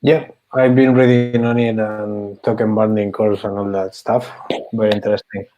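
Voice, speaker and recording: A man's voice: lowish voice, flat delivery, normal speed. A man joining a call and chatting casually, a bit hesitant. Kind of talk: casual chat. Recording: dull lo-fi call audio.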